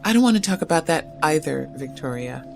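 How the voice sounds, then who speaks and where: A woman's voice: deep voice, lively intonation, brisk. An actress playing a shy, guarded young poet who talks hesitantly and self-consciously, in an audio drama with music under her. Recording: music score clearly under the voice.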